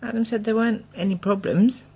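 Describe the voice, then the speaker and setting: An actress playing a wry, thoughtful middle-aged farmer's daughter in a radio soap, husky. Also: female voice, on the high side, steady tone, fairly quick, a little mellow. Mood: apologetic, torn. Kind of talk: naturalistic radio soap acting.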